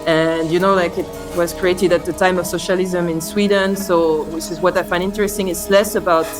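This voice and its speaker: French-accented woman musing over soft music. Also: fairly low pitch, steady tone, a little fast. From France. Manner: hesitant, thoughtful, many fillers and restarts. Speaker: interview guest, sound artist. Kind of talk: reflective conversational interview.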